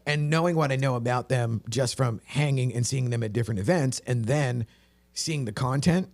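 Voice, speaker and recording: A man's voice: medium pitch, normal speed, darkish tone. A man hosting a casual interview, chatty and rambling with many restarts. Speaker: podcast host.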